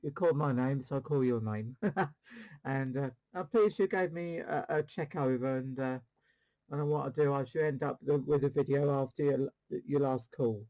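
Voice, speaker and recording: A man's voice: medium pitch, moderate pace, mellow, rough recording. A man hosting a casual CB radio demonstration, rambling along and repeating his phrases. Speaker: CB radio channel host.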